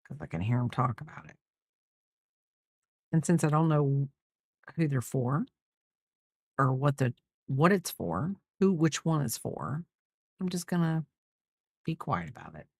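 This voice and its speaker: A woman's voice: deep voice, animated, unhurried, pauses often, darkish tone, breathy edge, dry recording, studio quality. A middle-aged woman chatting casually as a crochet host, in a rambling way, trailing off and repeating herself. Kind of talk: casual chat while crocheting, counting stitches aloud.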